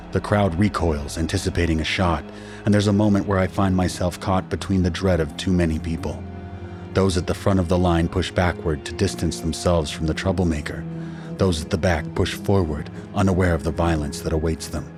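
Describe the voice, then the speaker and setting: A man acts as an anguished father telling of his ordeal in scripted first-person audio drama narration. His voice is low and grave, with dramatic delivery over clear background music. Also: lightly acted.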